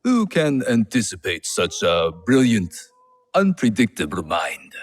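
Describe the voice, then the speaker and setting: An actor playing a smooth, scheming samurai general in a video game, with courteous, formal speech. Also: male voice, fairly low pitch, huge pitch swings, unhurried, full character voice. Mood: smooth, apologetic. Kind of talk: video game cutscene acting.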